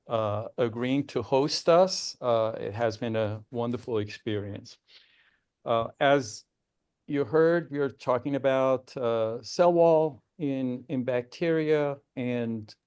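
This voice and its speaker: A man's voice: medium pitch, darkish tone, clear, resonant tone. A man organizing and moderating a scientific webinar, speaking formally with frequent hesitant fillers over a video call. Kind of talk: formal academic introduction.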